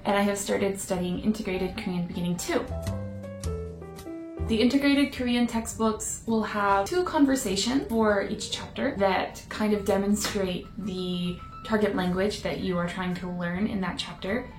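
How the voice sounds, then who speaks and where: A woman's voice: medium pitch, moderate pace. Chatty woman vlogging in an echoey room. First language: English. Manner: chatty, self-deprecating, laughs now and then. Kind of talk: casual talking-head vlog. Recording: roomy echo. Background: faint background music.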